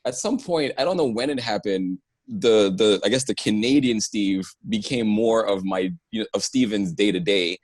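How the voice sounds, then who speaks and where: A man from Nigeria chatting casually as a guest on a video call, animated, laughing and rambling. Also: fairly low pitch, normal speed, no echo. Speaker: guest, singer and actor. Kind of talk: casual chat. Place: home room indoors. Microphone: earphone mic on a video call.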